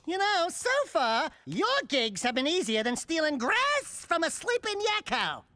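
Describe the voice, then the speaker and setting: A man's voice: very high-pitched, big pitch swings, pushed and bright, heavily acted. An actor voicing a wisecracking furry male animal sidekick in a video game, who talks in a brash, boastful way. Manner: brash, boastful, quick-talking. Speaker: game character.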